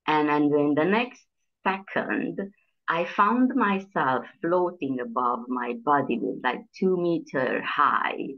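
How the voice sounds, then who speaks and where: A woman's voice: low voice, animated, takes it slowly. A middle-aged woman from Transylvania with a Hungarian accent, a guest on a video call interview, speaking hesitantly and searching for words. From Transylvania, Romania. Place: bright room indoors. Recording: dull lo-fi call audio.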